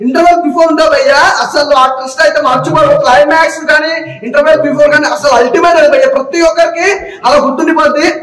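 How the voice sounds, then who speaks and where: Really high fast-talking guy hyping a film, loud and emphatic, often shouting, echoey. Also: fairly even intonation. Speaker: audience member giving a movie review. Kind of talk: excited public talk movie review. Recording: echoey, distant mic with crowd noise. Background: crowd.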